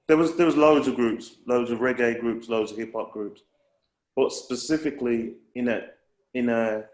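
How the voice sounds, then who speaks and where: A man's voice: mid-range voice, a little mellow, roomy sound. A man, a guest in casual conversation, reflective and rambling with restarts. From England. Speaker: guest, hip hop artist.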